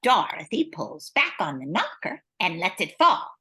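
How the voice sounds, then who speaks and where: A woman with a husky voice playing a storybook narrator in an amateur radio play. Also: fairly low pitch, wildly swooping pitch, moderate pace, pushed and bright, full character voice. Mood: storytelling. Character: storybook narrator, easily exasperated.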